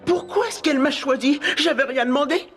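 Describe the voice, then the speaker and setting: Woman as high-voiced, anxious schoolboy. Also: fairly quick, soft background music, lightly acted. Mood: upset, defensive. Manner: hesitant, whiny. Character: reluctant, anxious, good-natured schoolboy. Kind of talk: French cartoon dub acting.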